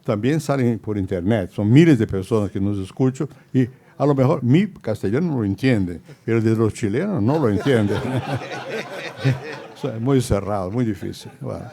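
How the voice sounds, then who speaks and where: Older man, gravelly voice, Spanish. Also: lowish voice, expressive, warm tone. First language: Portuguese. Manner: halting, many restarts and repeated words. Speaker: panelist. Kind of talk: panel debate remarks.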